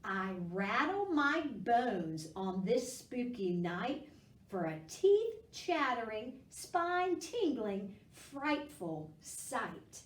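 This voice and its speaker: A woman voicing playful spooky Halloween creatures from a picture book, theatrical and drawn-out, with loud exclamations. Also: fairly high pitch, sing-song, heavily acted. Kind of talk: children's picture-book character reading. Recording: roomy echo, distant microphone.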